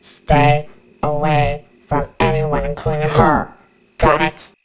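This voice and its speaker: Woman voicing a low, guarded young male outlaw. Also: completely flat delivery, slow. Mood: stern, threatening. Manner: curt, brooding, protective. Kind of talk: ASMR roleplay acting. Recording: background music under the voice.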